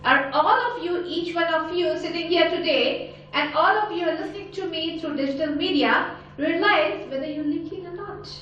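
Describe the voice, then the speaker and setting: High-voiced Indian-accented woman, a motivational speaker firing rhetorical questions, captured at a distance in a booming hall with faint noise. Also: moderate pace, fairly bright tone, highs cut off. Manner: emphatic, full of rhetorical questions. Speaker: motivational speaker and life coach. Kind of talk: motivational talk to a live audience. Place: large conference hall with an audience. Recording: very echoey hall, voice picked up from afar.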